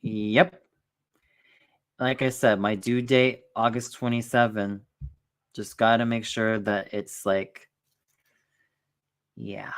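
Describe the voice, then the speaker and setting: A man's voice: fairly low pitch, little pitch movement, takes it slowly, long pauses, clear, resonant tone. A young man chats casually as the host of a stream. He rambles and often circles back with fillers before landing on his point. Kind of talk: casual chat.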